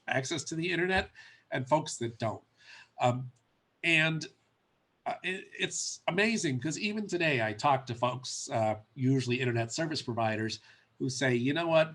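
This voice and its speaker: A man's voice: mid-range voice, a bit bright. A man with a cold, sounding stuffy, giving a conversational webinar presentation with frequent ums and uhs. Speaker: policy presenter.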